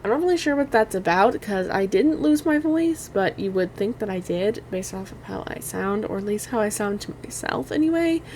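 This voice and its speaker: Young woman, hoarse and strained, quiet delivery; casual rambling game reviewer, faint background noise. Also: medium pitch, lively intonation, moderate pace, hardly any pauses. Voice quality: quiet, cannot get louder. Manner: rambling, many "like" fillers, apologetic. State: hoarse, strained voice after allergies.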